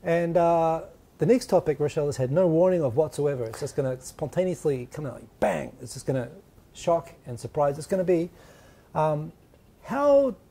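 Dark-toned, husky male interview host. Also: on the high side. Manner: lively, joking, quick asides. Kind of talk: casual studio interview. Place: small studio set, seated on a sofa. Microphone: lapel mic.

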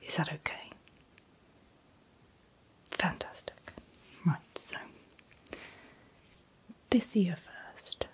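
Softly whispering woman, very slow. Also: on the low side, low-quality recording. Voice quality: breathy, mostly whispered. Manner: gentle, unhurried, speaking in short soft phrases. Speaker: ASMR performer playing a doctor. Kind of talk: soft-spoken ASMR medical roleplay.

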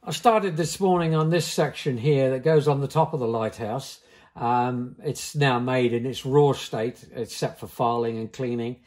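A man's voice: mid-range voice, unhurried, a little mellow. Older man, silversmith, practical plain talk, small workshop, camera mic. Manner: practical, plain-spoken, step-by-step. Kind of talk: workshop demonstration, explaining as he works.

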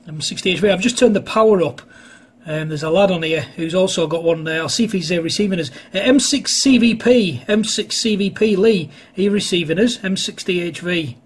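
Male ham radio hobbyist, high voice with a rough husk, casual on-air chat. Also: moderate pace. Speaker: amateur radio operator filming a test. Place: indoors at a home radio desk.

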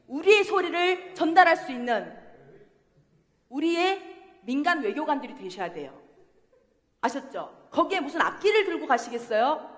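A woman in her thirties with a Jeolla accent, a pansori singer leading a playful lesson in a university hall, laughing often and coaching the audience. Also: sits high. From Jeolla, Korea. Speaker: pansori singer teaching a class. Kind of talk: lively workshop lesson with sung demonstrations. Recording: long hall reverb from a concert hall.

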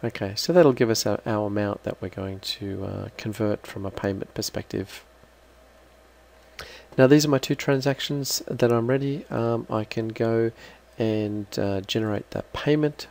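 A man giving an unscripted, halting step-by-step software walkthrough as a trainer. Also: on the low side, vocal fry. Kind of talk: screen-recorded software walkthrough.

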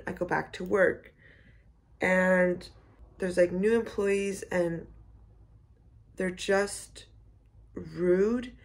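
Husky young woman with vocal fry. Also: on the low side. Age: 20s. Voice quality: slightly hoarse, husky. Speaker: vlogger. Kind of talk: casual vlog chat to camera. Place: small living room indoors, on a couch. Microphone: phone at arm's length.

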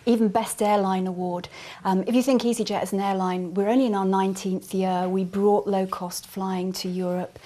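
A middle-aged woman speaks as an airline manager in a TV studio. Her answers are fluent and corporate, with lots of ums, and her voice sits in an average pitch. She sounds close to a clip-on mic. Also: warm tone. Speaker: airline commercial manager, guest. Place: small TV studio set.

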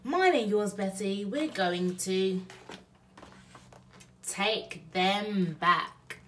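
A woman's voice: medium pitch, drawn-out words, fairly bright tone, distant mic, a little hiss or hum. A woman voicing a good-natured, plain-spoken working-class male burglar in a storybook. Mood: repentant.

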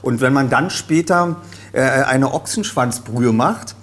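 A middle-aged man, a guest cook, explaining his cooking in a relaxed, hesitant way with many fillers, in a studio kitchen. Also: medium pitch, a little slow. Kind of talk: casual cooking chat. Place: studio kitchen set, indoors.

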